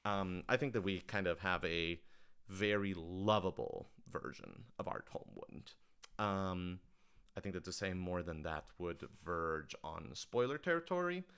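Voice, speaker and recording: A man with a lowish, smooth and resonant voice, chatting through audience questions on a livestream. He explains things casually and with enthusiasm, feeling his way through each answer. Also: fairly bright tone. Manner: enthusiastic, explanatory, conversational with frequent hedging.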